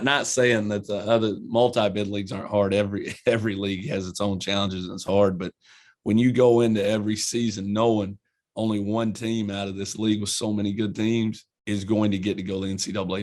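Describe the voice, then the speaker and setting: A man's voice: fairly low pitch, little pitch movement, a little fast. A man in his forties with an Eastern Kentucky country accent, a college basketball head coach answering interview questions in a folksy, earnest way that often repeats words as he restarts.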